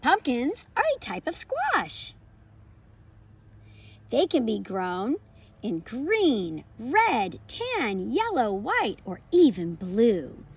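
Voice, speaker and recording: An American woman hosting a homeschool lesson and children's read-aloud, with expressive, emphatic storybook phrasing. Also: a little high, very slow, slightly rough. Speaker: homeschool educator and channel host.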